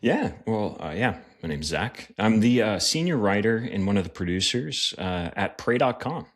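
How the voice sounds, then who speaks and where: A man's voice: lowish voice, sing-song, moderate pace. A middle-aged man, a pastor and writer, answering interview questions in an earnest, reflective way with frequent fillers. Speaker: podcast guest, pastor and writer.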